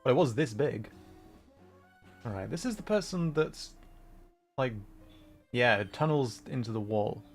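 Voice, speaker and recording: A man with a medium-pitched voice chats casually as he hosts a game livestream. He rambles thoughtfully, pausing and feeling for words. Music plays behind him. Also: lively intonation. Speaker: livestream host. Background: background music.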